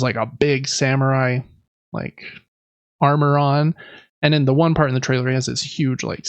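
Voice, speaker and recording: A man's voice: mid-range voice, dry recording, highs cut off. A man hosting a podcast, chatting casually and informally through gaming news. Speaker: podcast host. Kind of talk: casual video game news talk.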